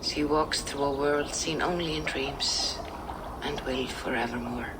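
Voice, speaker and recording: A woman playing a wise, serene Norse seeress in a video game, speaking in measured, poetic, gracious phrases. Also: rumbling low, steady tone, heavy background noise. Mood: serene, reflective. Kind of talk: dramatic video game acting.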